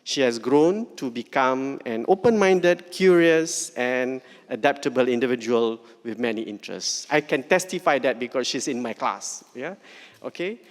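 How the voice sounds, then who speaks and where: Middle-aged man, forum moderator, halting delivery, keeps asking "yeah?", echoey hall. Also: mid-range voice, expressive. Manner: hesitant, many fillers, checks in with "yeah?". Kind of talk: introducing a speaker at a public forum.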